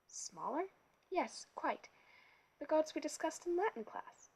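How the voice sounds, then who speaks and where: A woman reading an audiobook, voicing a kindly, learned male teacher who speaks gently and with measured patience. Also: sits high, big pitch swings, vocal fry, pitched above the performer's own voice, full character voice. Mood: gentle, earnest.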